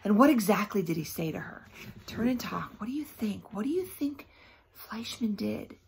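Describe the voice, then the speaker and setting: A woman teacher reads a children's book aloud expressively, pausing often for emphasis and to put questions to young listeners. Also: mid-range voice, animated, creaky, darkish tone, slight background noise.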